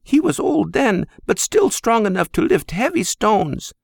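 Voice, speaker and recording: A man's voice: high voice, big pitch swings, unhurried, vocal fry, no room sound, clean studio sound, pitched above the performer's own voice, full character voice. A man voicing an older Greek immigrant mother who scolds in broken English. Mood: reminiscing.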